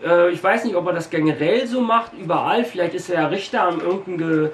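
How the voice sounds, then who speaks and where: A man in his forties from Berlin with a light Berlin accent, casually telling anecdotes to camera, checking his notes and pausing often with fillers. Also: high-pitched. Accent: light Berlin German. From Berlin, Germany. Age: 40s. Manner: chatty, reads from notes, frequent pauses and fillers. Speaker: video host telling shop stories. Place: small room packed with shelves, indoors. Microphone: camera mic a few metres away. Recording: roomy, distant camera audio with faint hiss.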